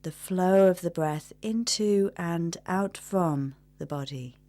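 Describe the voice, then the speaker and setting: Female meditation guide: lower register, very unhurried delivery, gentle and measured instruction. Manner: gentle, measured, with long pauses.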